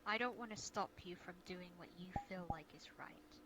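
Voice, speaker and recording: A woman's voice: fairly low pitch, normal speed, close to an everyday voice. A woman playing a caring, cautious guild leader in an improvised fantasy role-play, speaking earnestly and hesitantly over online voice chat. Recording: noisy online voice-chat audio.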